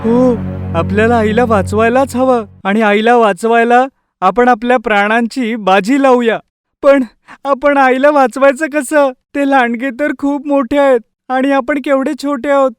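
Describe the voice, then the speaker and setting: An actor voicing a small, devoted young lion cub in an animated story, pleading in an earnest, childlike way. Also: medium pitch, measured pace, clean tone, no echo, studio quality, faint music behind, close to an everyday voice. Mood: earnest, worried. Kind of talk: animated story character voice acting.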